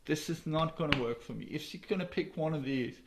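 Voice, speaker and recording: A man's voice: a little high, a little fast, creaky, slight background noise. A man voicing God in heaven, musing to himself and making up his mind. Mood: pondering.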